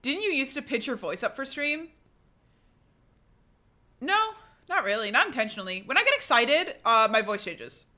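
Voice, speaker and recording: Bright-voiced woman chatting casually on stream. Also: normal speed, pauses often. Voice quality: says her voice is fairly low and relaxed when tired. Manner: chatty, swears casually, many restarts and fillers. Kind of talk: casual chat reading live comments.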